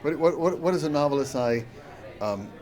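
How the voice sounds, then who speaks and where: A man's voice: fairly high pitch, animated, a little fast, a little mellow, a faint crowd. A man hosting a radio interview, asking probing, thoughtful questions. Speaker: radio host.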